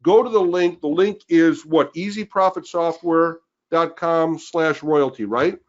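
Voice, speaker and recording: A man co-hosting a webinar who is losing his voice, pushing a sales pitch and calling out names to rally listeners. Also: unhurried. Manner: pushy, rallying, calls out names. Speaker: webinar co-host. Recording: dull lo-fi webinar audio.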